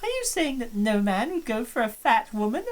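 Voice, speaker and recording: A woman's voice: a little high, big pitch swings, moderate pace. A woman voicing a hesitant, somewhat apologetic college girl in a fan-voiced visual novel. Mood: doubtful.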